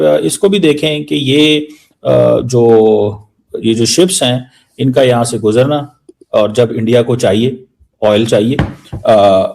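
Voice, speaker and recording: Halting, gravelly male news commentator. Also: medium pitch, darkish tone, a little hiss or hum, poor audio. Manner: halting, hesitant, repeating phrases for emphasis. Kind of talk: unscripted news analysis mixing Urdu and English.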